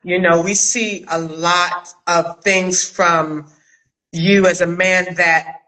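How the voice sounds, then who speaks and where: A woman hosting a casual interview chat, talking in an expressive, emphatic way. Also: fairly low pitch, slow pace, poor audio. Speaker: host, acting coach and writer. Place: remote video call.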